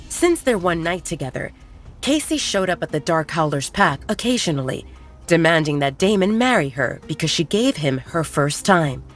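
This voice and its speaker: A woman narrating a romance audiobook at a steady storytelling pace. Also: low-pitched. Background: soft music.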